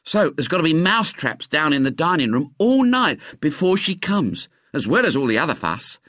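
A man voicing a fussy, gossiping working-class housemaid who chatters in a high voice. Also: sing-song, moderate pace, a bit bright, dry recording, clean studio sound. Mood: gossipy.

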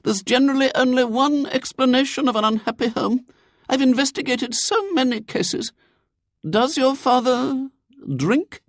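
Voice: high voice, normal speed, no echo, studio quality, pitched above the performer's own voice. A storyteller voicing a prim elderly lady in an audiobook, earnest, preachy and fussily sympathetic. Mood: gushing concern.

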